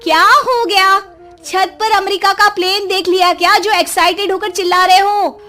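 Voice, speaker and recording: A woman voicing a sharp-tongued young wife in a cartoon story, scolding and mocking. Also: very high voice, fairly even intonation, moderate pace, faint music behind, pitched above the performer's own voice.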